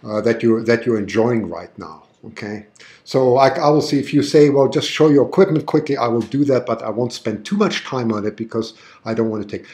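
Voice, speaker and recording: A man's voice: mid-range voice, a little mellow, echoey room. A man from Germany with a mixed international accent, hosting a live eclipse stream from his backyard at night, talking in an enthusiastic, chatty way and often restarting his words. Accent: mixed international with German roots. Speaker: livestream host showing an eclipse. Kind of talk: live commentary.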